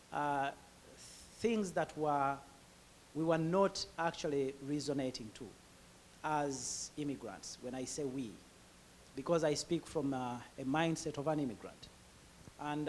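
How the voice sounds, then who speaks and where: A man's voice: fairly high pitch, a little hiss or hum. Hesitant Ugandan man telling his story. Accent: Ugandan English. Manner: hesitant, many filler sounds and restarts. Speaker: guest speaker. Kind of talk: personal story talk.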